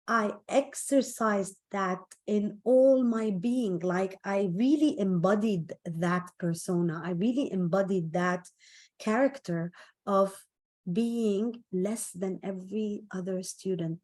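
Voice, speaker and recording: A woman's voice: mid-range voice, a little mellow. A woman speaking as a motivational speaker, telling personal stories in an emphatic, conversational way and repeating phrases for emphasis. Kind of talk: personal storytelling talk on self-esteem.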